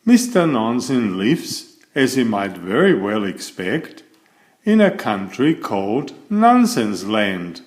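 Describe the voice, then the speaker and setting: A man's voice: on the low side, very animated, takes it slowly, a little mellow. A man reading a children's bedtime story aloud expressively, putting questions to the listener. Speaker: storybook reader.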